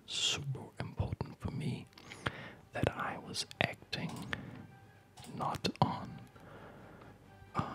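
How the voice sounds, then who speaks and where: A man whispering in a hesitant, halting, reflective way, as in a rambling ASMR video. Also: fairly bright tone. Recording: music behind the whisper. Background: music.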